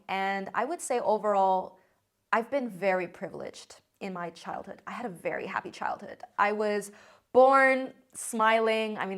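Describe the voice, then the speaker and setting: A young woman in her twenties speaks with an American accent, relaxed and conversational as she addresses her viewers. Her talk is chatty and upbeat, sprinkled with "like" and "um", sitting at a mid-range pitch for a woman. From China. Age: 20s. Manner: chatty and upbeat, frequent "like" and "um" fillers. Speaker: content creator. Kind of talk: casual vlog talk to camera.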